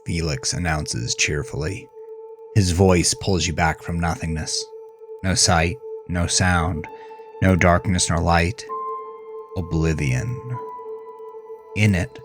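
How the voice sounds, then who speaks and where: A man's voice: sits low, steady tone, takes it slowly, pauses often, over music. A man narrating a horror story in a dramatic, grave and suspenseful manner. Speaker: author narrating his own horror fiction.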